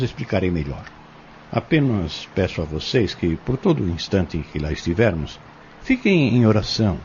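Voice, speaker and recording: A man with a Brazilian accent playing a calm, kindly pharmacist who teaches young men in a measured, solemn way in an audio drama. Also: deep voice, highs cut off, slight background noise. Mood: calm, explaining.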